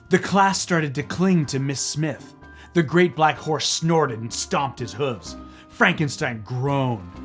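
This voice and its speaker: A man reading a children's book aloud as theatrical narration, dramatic and playful. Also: a little high.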